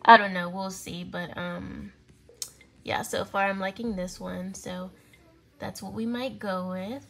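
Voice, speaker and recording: A woman's voice: mid-range voice, steady tone, measured pace, vocal fry, fairly bright tone. A woman in her twenties from California, chatting casually through her day in a vlog, rambling with lots of fillers, filmed on a phone at arm's length in a small apartment. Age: 20s. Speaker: lifestyle vlogger. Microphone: phone camera held at arm's length.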